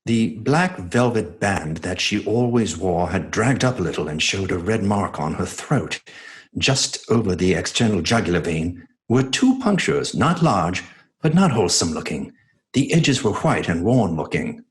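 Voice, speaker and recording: Earnest low-voiced doctor. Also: male voice, sing-song, unhurried. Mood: sombre. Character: earnest, troubled doctor recounting events. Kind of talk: dramatic radio-play narration.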